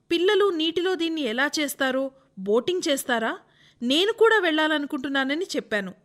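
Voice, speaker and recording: A woman acts out a young female athlete in a dubbed radio interview. Her voice is very high and sharply bright. She answers earnestly and respectfully, like an eager junior addressing an elder. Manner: deferential, earnest. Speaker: reader voicing an interviewed athlete.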